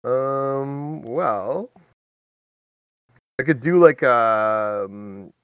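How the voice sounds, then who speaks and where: A man's voice: mid-range voice, extremely slow, clear, resonant tone, no echo, dull audio. A man hosting a podcast in casual, rambling chat, talking directly to listeners with folksy exclamations. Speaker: podcast host.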